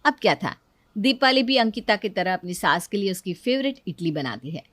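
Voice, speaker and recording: A woman's voice: mid-range voice, very animated, moderate pace, a bit bright, dry recording. Lady reading a kids moral story, dramatic telling, super dry close sound. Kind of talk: scripted narration of a Hindi moral story.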